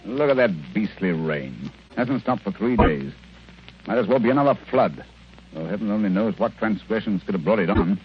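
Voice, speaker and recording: An actor playing an irritable, aloof British gentleman detective with a clipped, world-weary delivery in an old-time radio drama. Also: lowish voice, animated, normal speed, a little hiss or hum, close to an everyday voice. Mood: irritable, gloomy. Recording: muffled, dull old radio broadcast.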